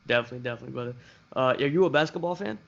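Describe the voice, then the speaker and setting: A man's voice: medium pitch, racing, gravelly. A young man from Bangladesh hosting a podcast interview, casual and laid-back, swearing freely and calling his guest "bro". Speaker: podcast host. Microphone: video call. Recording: muffled call audio.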